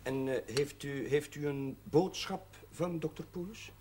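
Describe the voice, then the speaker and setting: A man acting as a stubborn, modest chaplain in a television drama. His voice sits a little high and his replies are short and hesitant. The old TV sound carries some background noise. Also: measured pace, darkish tone, natural voice. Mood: uneasy, hesitant. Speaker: actor in a television drama series. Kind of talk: naturalistic television drama dialogue.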